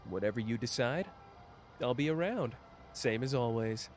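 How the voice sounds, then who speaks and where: A man's voice: medium pitch, expressive, moderate pace, a little hiss or hum, natural voice. A man voicing a playful, theatrical young man who teases and plays up his suffering, in an ASMR roleplay. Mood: gentle. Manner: soft, teasing and theatrical.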